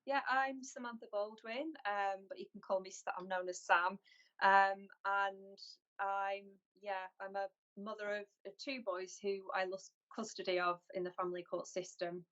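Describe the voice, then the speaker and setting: A woman from North West England, a guest on a video call interview, speaking in an earnest, measured way. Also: medium pitch, level tone, fairly bright tone. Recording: lo-fi call audio.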